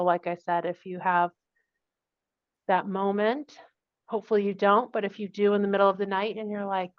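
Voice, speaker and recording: A woman presenting a project at a public meeting, talking in a casual, friendly way. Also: mid-range voice. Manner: casual and friendly, plain conversational phrasing. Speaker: project consultant presenting to a commission. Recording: dull lo-fi meeting recording.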